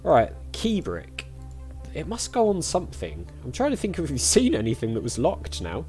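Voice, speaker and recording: Animated man playing games. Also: on the high side, normal speed, soft background music. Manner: chatty, thinking aloud. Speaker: gaming YouTuber. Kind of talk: casual let's-play commentary.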